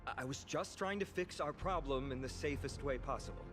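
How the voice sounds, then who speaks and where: An actor playing a cautious, earnest young male warrior in a video game, speaking in a polite, measured way. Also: mid-range voice, close to an everyday voice. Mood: sheepish, apologetic. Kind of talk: scripted video game character acting. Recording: game music behind the voice. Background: game music.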